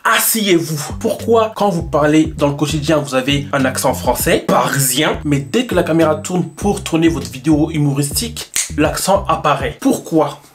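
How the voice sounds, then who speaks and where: A young man talking straight to his viewers in a casual, animated way, asking questions and pausing to think aloud. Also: a little high. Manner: animated, rhetorical, addresses viewers directly. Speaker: YouTuber. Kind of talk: casual talk to camera.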